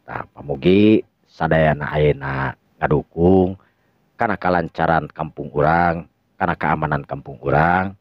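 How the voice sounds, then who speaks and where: A man playing a composed, resolute young village hero in a radio drama, calm, measured and respectful. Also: extremely low pitch. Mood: firm, instructing. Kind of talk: Sundanese radio drama acting. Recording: dull lo-fi recording with echo.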